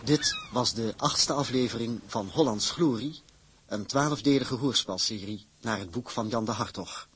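A man announcing in a scripted, formal and measured way, with a very husky voice. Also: mid-range voice, a bit bright, slight background noise.

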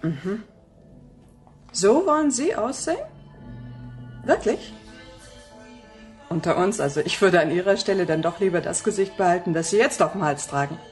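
A woman's voice: on the low side, animated, normal speed, long pauses, faint music behind, natural voice. An actress playing a chatty, frank face-changing shop saleswoman in a sci-fi radio play, with casual, familiar sales patter. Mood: doubtful, frank.

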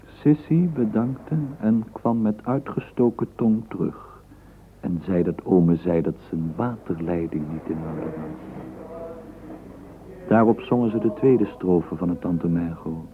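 An actor narrating a literary radio play as a measured, lyrical male storyteller. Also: deep voice. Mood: wry, measured. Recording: muffled, noisy old radio play recording.

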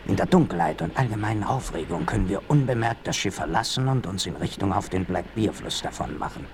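Deep-voiced, scheming outlaw boss. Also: male voice, animated, fairly quick. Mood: conspiratorial. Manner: conspiratorial, commanding. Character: scheming, bossy male outlaw gang leader. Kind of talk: 1960s German radio play acting. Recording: noisy 1960s vinyl record rip.

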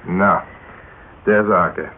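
An actor playing a jaded, blunt, middle-aged old-school police detective in a radio drama, grumbling and needling. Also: male voice, deep voice, steady tone, extremely slow. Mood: pressing. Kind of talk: naturalistic radio drama dialogue. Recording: tinny, noisy old radio recording.

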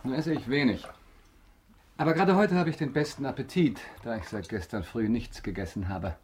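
A man's voice: medium pitch, a little mellow, slight background noise, natural voice. A man acting in a German radio play as a worldly, enigmatic count with formal, polished manners. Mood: urbane, self-assured. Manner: formal, polished, measured courtesy. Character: wealthy, worldly count, courteous, enigmatic, secretly vengeful.

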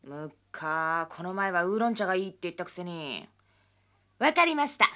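A woman's voice: fairly low pitch, very animated, normal speed, pushed and bright, smooth. An actress playing a cheerful, pouty young female assistant in a radio drama, chirpy and teasing.

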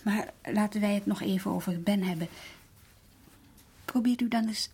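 A woman playing an earnest, patient social worker in a Dutch radio drama, polite and gently insistent, with a husky voice. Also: mid-range voice, moderate pace. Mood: patient, coaxing.

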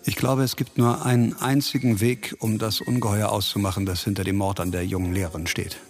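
A man acting an earnest, persistent police investigator in a radio crime drama, speaking in a measured, persuasive way. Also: fairly low pitch, level tone, lightly acted. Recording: studio radio drama, music underneath.

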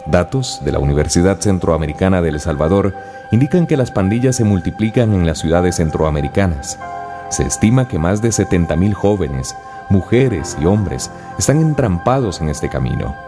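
Deep, animated male narrator over music. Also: normal speed. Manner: solemn, rhetorical. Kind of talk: scripted documentary narration.

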